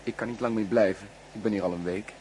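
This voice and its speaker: A man's voice: on the low side, fairly even intonation, fast talker, a little mellow, slight background noise, natural voice, poor audio. An actor playing a polite, hesitant young house guest in a radio play, often repeating himself as he searches for words. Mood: hesitant, reluctant. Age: young adult.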